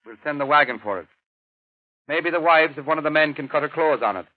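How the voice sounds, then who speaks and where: A thin, band-limited 1930s radio drama recording presents an actor as a loyal police sidekick. He is a quick-talking man with a raised pitch. His manner is folksy, colorful and grumbling. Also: dry recording, phone-quality sound, close to an everyday voice. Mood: grumbling, insistent. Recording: thin vintage radio broadcast.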